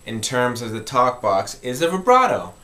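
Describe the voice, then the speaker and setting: A man's voice: medium pitch, wildly swooping pitch, moderate pace, sharp tone. A man hosting an informal music tutorial, explaining step by step in a conversational way and repeating key points.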